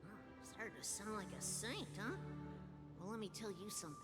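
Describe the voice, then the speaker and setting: A woman's voice: mid-range voice, a little fast, natural voice. An actress playing a brash, irreverent young woman in a video game, with a sardonic, taunting, casual delivery. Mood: scornful, taunting. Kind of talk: dramatic video game voice acting. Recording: long hall reverb under loud music.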